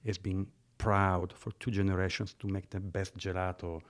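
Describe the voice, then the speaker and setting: A man's voice: low-pitched, flat delivery, normal speed. A middle-aged man from Italy with an Italian accent, speaking as an interview guest about his family business in earnest, slightly non-native phrasing. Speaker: guest, gelato business owner. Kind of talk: interview conversation.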